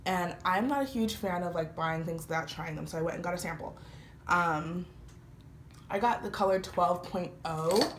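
A woman's voice: on the low side, moderate pace. Chatty young woman with a slight cold. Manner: chatty, relaxed, frequent fillers. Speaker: beauty vlogger. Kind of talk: casual makeup tutorial talk. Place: small indoor room, patterned wall with lights. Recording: roomy echo, faint background hiss.

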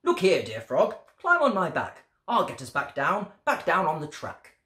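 A woman's voice: sits low, wildly swooping pitch, normal speed, fairly bright tone, full character voice. A kind, brave little mouse from a storybook, read by a woman, earnest and encouraging. His speech rises and falls widely in an echoing room.

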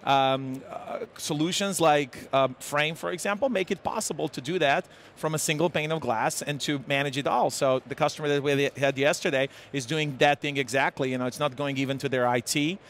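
A man in his forties from Serbia with a Serbian accent, speaking haltingly with many fillers as a guest in a live conference interview. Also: fairly high pitch, steady tone, fairly bright tone, a little hiss or hum. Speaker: tech executive guest. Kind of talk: live trade-show interview. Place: busy conference hall. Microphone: headset mic. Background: crowd chatter.

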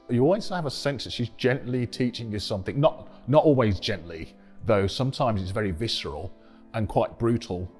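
A middle-aged man giving thoughtful, measured commentary in a documentary interview in a gallery room. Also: on the low side.

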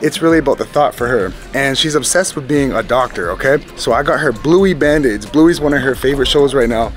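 A man in his thirties talks straight to his viewers in a relaxed, upbeat vlog, his voice at an ordinary middle pitch. He is filmed on a handheld camera at arm's length while walking through a big store. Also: brisk, faint music behind. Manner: upbeat, chatty, addresses viewers directly. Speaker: family vlogger. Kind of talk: casual vlog chat. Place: large store, indoors.

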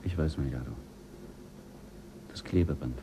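An actor playing a skeptical, quietly ruthless male astronaut in a radio play, speaking low and measured. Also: background noise. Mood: calm.

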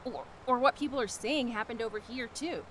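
A woman playing a brisk, no-nonsense animal expert in an audio drama. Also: on the high side, a bit bright, slight background noise, close to an everyday voice. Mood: puzzled.

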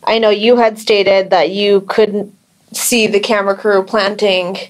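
An American woman investigator talking on the phone in a measured, noncommittal way. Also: medium pitch, little pitch movement, poor audio. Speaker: police investigator. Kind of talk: phone conversation.

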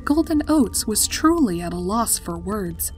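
A woman's voice: mid-range voice, very animated, a little slow. A woman reading a scripted story as its narrator. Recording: music behind the voice. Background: background music.

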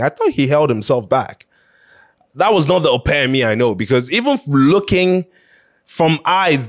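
A man hosting an opinion show, talking casually and emphatically in a conversational way, repeating phrases to drive his points home. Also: on the high side.

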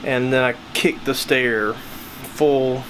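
A man's voice: medium pitch, steady tone, slow pace, a bit bright, slight background noise, rough recording. A young man vlogging casually in a small cabin, talking at arm's length to his camera with a rambling, self-deprecating manner. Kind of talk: casual vlog chat. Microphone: camera held at arm's length.

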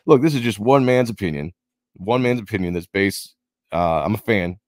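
A man hosting his own channel, giving a rambling, opinionated commentary and repeating himself, his voice going hoarse. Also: lowish voice, lively intonation, normal speed, no room sound, studio quality. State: losing his voice, coughing. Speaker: comic book channel host.

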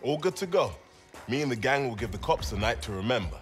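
An actor playing a boastful, cheerful getaway driver with an exuberant manner in a video game. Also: male voice, mid-range voice, big pitch swings, fast talker, lightly acted. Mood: confident. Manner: cocky, exuberant. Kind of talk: video game cutscene acting. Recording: loud game music behind.

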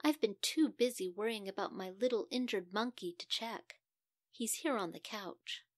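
A woman's voice: mid-range voice, normal speed, dry recording, studio quality, natural voice. Fretting Mamma Monkey voiced by a woman. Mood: sheepish. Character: fretting, evasive mother monkey.

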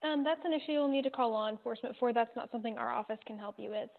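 A woman's voice: on the high side, very fast, gravelly. A woman working as a law office receptionist, talking politely and procedurally on a phone call. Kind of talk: customer service phone talk. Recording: muffled narrow-band phone line.

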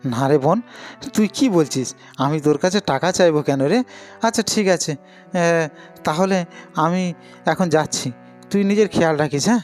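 Man acting a high-voiced, earnest kind-hearted brother. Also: normal speed, long pauses, darkish tone, soft background music, lightly acted. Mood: pleading. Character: poor, hungry, kind-hearted elder brother, pleading and devoted. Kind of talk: cartoon morality tale dialogue.

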